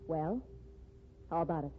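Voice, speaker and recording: A woman's voice: low voice, lively intonation, normal speed, breathy edge. An actress playing a determined, devoted woman writer, insistent and emphatic in 1950s radio style. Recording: tinny, noisy 1950s radio recording.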